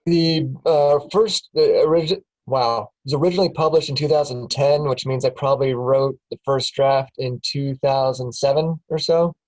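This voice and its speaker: A man with a medium-pitched voice speaks as a writer on a video call. His delivery is casual and conversational. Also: normal speed, hardly any pauses, darkish tone, low-quality recording.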